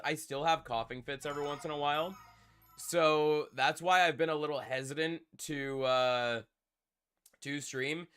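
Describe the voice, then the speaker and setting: A man's voice: on the high side, pushed and bright. Congested young man chatting with stream. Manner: rambling, repeats himself, talks to chat. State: congested from a cold. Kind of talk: casual live-stream chat.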